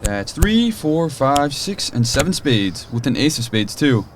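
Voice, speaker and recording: A man's voice: medium pitch, big pitch swings, a little slow. A man playing a boastful, teasing card player in casual audio drama banter, with faint noise behind him. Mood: smug, playful. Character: cocky, teasing male card player among friends.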